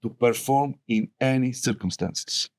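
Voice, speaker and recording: A man's voice: medium pitch, measured pace. A middle-aged man with a Bulgarian accent, a guest filmmaker telling his story in a casual roundtable chat, rambling and laughing often.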